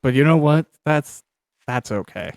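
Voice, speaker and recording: A man's voice: on the high side, lively intonation, measured pace, vocal fry, clean tone, no room sound. A man hosting a casual, conversational interview while playing a video game, thinking aloud as he talks. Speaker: host, interviewer and producer of an audio drama.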